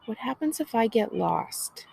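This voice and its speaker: A woman's voice: on the high side, expressive, a little slow, vocal fry, darkish tone, slight background noise, voice pushed up, heavily acted. A woman reading a storybook as a defiant, anxious younger sister of about eleven, earnest and indignant. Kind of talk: children's storybook character reading.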